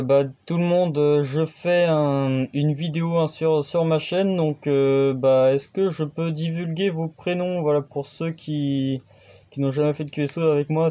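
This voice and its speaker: A man's voice: on the high side, steady tone, a little slow, mellow. A man hosting his own amateur radio channel, explaining things casually and hesitantly, with many fillers and restarts.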